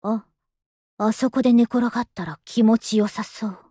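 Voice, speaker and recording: A woman playing a lazy, polite, softly affectionate young masked soldier in an anime game, with an understated, languid delivery. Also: fairly high pitch, steady tone, moderate pace, husky, dry recording, clean studio sound. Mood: languid, calm. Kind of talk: Japanese anime game voice acting.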